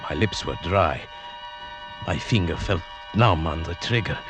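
An actor playing a grave, haunted man who narrates a night hunt in a measured, suspenseful 1950s radio drama style. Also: low-pitched. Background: dramatic music.

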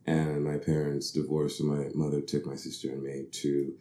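A man's voice: rumbling low, monotone, moderate pace, dark tone. A man in his thirties from the Bahamas, an actor being interviewed, telling his story thoughtfully, correcting himself often and laughing easily. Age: 30s. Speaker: actor guest. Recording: roomy echo.

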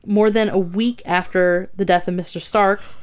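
Brief young woman host, smooth clear voice, loose chatty narration, cusses casually. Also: medium pitch, fairly even intonation, moderate pace. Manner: swears casually, reads notes aloud with asides. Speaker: podcast host telling a true crime story. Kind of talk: casual storytelling chat, reading notes.

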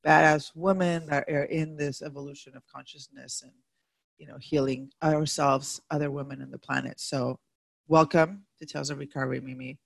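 A woman's voice: sits low, fairly even intonation, moderate pace, gravelly, dry recording. A woman hosting a podcast, chatty and enthusiastic, using casual slang. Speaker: podcast host.

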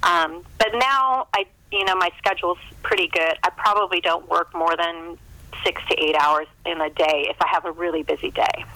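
A woman's voice: on the low side, moderate pace, sharp tone, no echo. A middle-aged woman talking in a casual, chatty, self-deprecating way, as an interview guest on a phone call. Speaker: author being interviewed.

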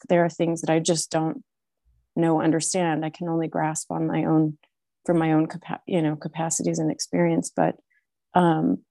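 A woman introducing her interests to a group meeting, halting often with fillers and restarts. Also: on the low side, vocal fry, warm tone, no room sound. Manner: hesitant, many fillers and restarts. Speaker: meeting participant. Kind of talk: casual video-meeting introduction.

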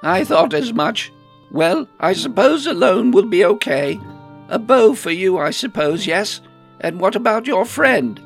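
A man's voice: sits high, sing-song, a little slow, gravelly, soft background music, pitched above the performer's own voice, full character voice. A man voicing a shrewd female hobbit weapons merchant, suspicious and no-nonsense, in a storybook reading. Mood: grudging.

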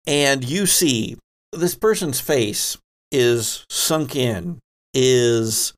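A man's voice: medium pitch, expressive, drawn-out words. A man narrating a tabletop roleplaying game as game master, speaking conversationally and descriptively with wry asides.

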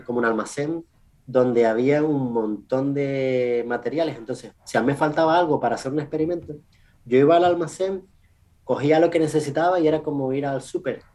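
A man with a Canarian Spanish accent giving casual interview answers as a research scientist, in an informal, halting way with self-corrections and tag questions. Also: mid-range voice, warm tone. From Canary Islands, Spain. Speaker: research scientist, podcast guest.

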